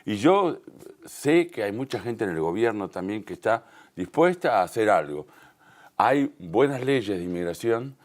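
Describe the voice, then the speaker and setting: A man with a fairly deep voice and a Rioplatense Spanish accent speaks as a guest in a television interview. He explains his points conversationally and with emphasis, sometimes rephrasing mid-sentence. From Uruguay and Argentina, Río de la Plata.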